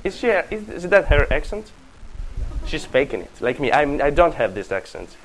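A man's voice: fairly high pitch, very animated, normal speed, a little hiss or hum, rough recording. Man, Italian accent, university lecture, digressive, self-correcting, joking asides. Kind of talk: classroom lecture.

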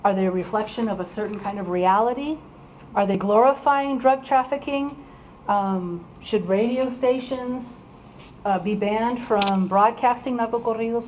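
A woman's voice: fairly high pitch, normal speed, a little mellow, slight background noise, rough recording. A woman from Iowa giving a chatty, informal talk to an audience as a guest speaker, with frequent ums and laughs. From Iowa, USA.

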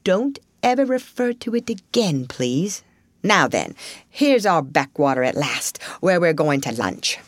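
Storybook water rat , female reader: genial riverside gentleman, talkative and emphatic, very lively pitch movement. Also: unhurried, dry recording. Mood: hesitant, firm. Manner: emphatic, chatty, opinionated. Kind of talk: storybook character reading.

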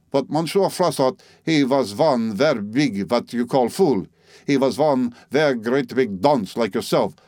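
A man's voice: mid-range voice, a little mellow, no room sound, clean studio sound, natural voice. A man voicing an indignant eighty-two-year-old French woman who speaks broken English with a French accent. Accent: put-on French accent.

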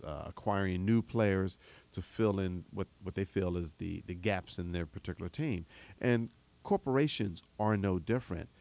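A man's voice: sits low, creaky, darkish tone. A man hosting a radio interview program, often stumbling and repeating words as he asks questions. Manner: halting, repeats words when starting questions. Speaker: radio host. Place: radio studio. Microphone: studio microphone.

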